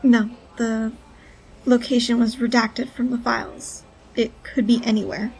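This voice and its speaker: A woman's voice: on the high side, flat delivery, a little slow, creaky, darkish tone, rough recording. An actress playing a wary, resolute female informant who speaks in an understated, matter-of-fact way in an audio drama.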